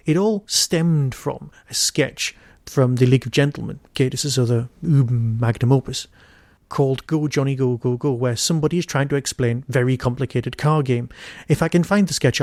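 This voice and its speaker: A man hosting a podcast, giving an informal solo review in a wry, digressive way with emphatic pauses. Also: mid-range voice, darkish tone, no room sound, clean studio sound.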